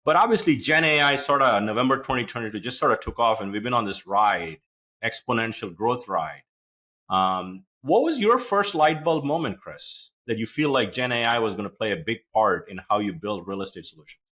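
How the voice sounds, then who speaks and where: A man's voice: lowish voice, expressive, fairly quick, bright, forceful tone. A man from India hosting a podcast, chatty and rambling with many fillers as he introduces and questions his guest. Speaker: podcast host.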